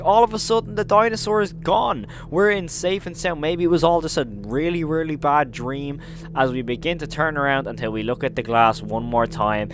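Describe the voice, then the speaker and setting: A man's voice: fairly high pitch, fairly bright tone, slight background noise. A man hosting a casual recap commentary, telling the story emphatically and leaning on intensifiers. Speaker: video host and narrator.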